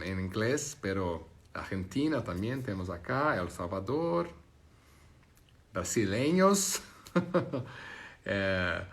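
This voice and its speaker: A man from Brazil speaking with a Brazilian Portuguese accent, chatting casually on a live stream as he reads viewer comments. He talks at an unhurried, slow pace and often stops to restart and search for the right word. His pitch sits in a middle range. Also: a bit bright. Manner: halting, searching for words, many restarts.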